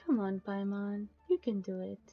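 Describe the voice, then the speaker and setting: A woman streamer tossing out casual reactions in a creaky voice of medium pitch. The sound is slightly dull, with game music faintly behind. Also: animated, normal speed. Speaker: livestreamer. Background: video game music.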